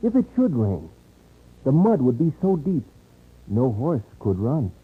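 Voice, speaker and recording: Earnest, husky young frontiersman. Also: medium pitch, sing-song, heavily acted. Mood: earnest, determined. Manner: formal, measured, few contractions. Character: earnest, loyal, level-headed young frontier man. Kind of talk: 1950s radio western acting. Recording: tinny old radio recording.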